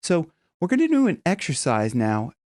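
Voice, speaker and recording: A man's voice: on the high side, huge pitch swings, moderate pace, darkish tone, no echo, clean studio sound. A man teaching singing in a casual, chatty video lesson, talking emphatically and breaking off into short vocal demos.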